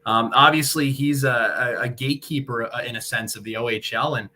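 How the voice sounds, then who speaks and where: A man's voice: mid-range voice, a little fast, pushed and bright. A young man, a sports broadcaster, giving articulate, wordy answers as an interview guest. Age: young adult. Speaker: guest, radio hockey play-by-play broadcaster.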